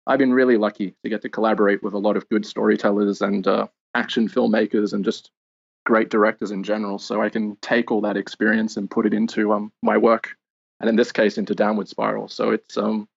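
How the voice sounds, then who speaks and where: An Australian man, a game lead designer, answering interview questions in a measured, explanatory way with filler pauses. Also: lowish voice, gravelly. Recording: dull lo-fi audio.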